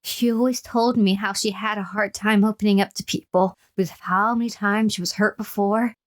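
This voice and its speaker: Woman acting fearful captive narrator, mid-pitched. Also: fairly even intonation, normal speed, natural voice. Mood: wistful, resigned. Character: frail, fearful captive lover recounting her torment. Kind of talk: dramatic first-person narration in horror audio drama.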